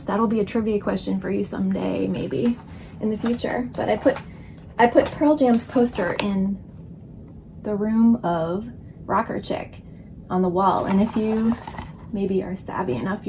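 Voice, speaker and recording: A woman in her forties chats casually to camera about her work as an illustrator. Her voice sits at a middle pitch. There is faint noise in the room behind her. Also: creaky. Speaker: children's book illustrator and author. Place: indoor room full of books.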